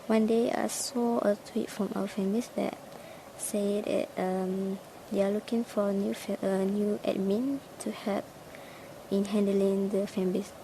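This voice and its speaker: A woman's voice: mid-range voice, level tone, a little slow, background noise. A woman in her twenties from Malaysia, answering interview questions as a fan base head admin, hesitant with fillers and restarts. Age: 20s.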